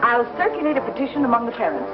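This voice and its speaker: A woman's voice: on the high side, very animated, rapid-fire. An actress playing an indignant, gossipy and determined frontier mother in a 1950s television Western. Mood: scandalized, determined. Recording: dull old TV soundtrack with music.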